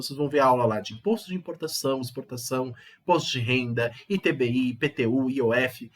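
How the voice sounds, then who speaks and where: A Brazilian man gives a recorded law lesson in a husky, slightly hoarse voice, since he has a cold. His pitch sits in an ordinary middle range. He explains patiently, stacking examples and lifting his voice on the key terms. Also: a bit bright. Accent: Brazilian Portuguese. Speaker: law teacher. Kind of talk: online video lesson.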